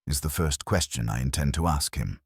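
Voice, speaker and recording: Man voicing a very deep, deliberate police inspector. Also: lively intonation, a little mellow, husky, no echo, studio quality, heavily acted. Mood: grave, deliberate. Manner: measured, deliberate, murmuring. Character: pensive, methodical male English police inspector. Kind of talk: audio detective story dialogue.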